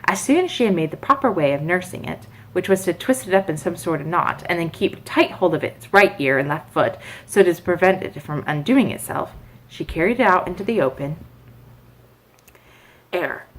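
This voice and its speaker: A woman reading a children's bedtime story aloud in an expressive narrating style. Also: lowish voice, moderate pace, rough recording.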